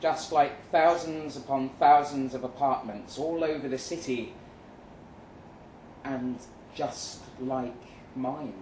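Man acting out a slow-spoken, weary narrator, roomy sound. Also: medium pitch, darkish tone, close to an everyday voice. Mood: wistful. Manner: deliberate, dramatic pauses. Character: weary, jaded male agent narrating his own story. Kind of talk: live dramatic short-story reading. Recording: roomy live venue, some noise.

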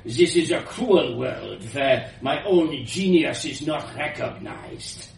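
A man voicing an elderly, eccentric woman scientist with a powerful voice, a foreign accent and halting, grandiose, bitter delivery in a story. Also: mid-range voice, expressive, gravelly, darkish tone. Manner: halting non-native grammar, grandiose, declaiming. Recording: roomy, distant mic with hiss.

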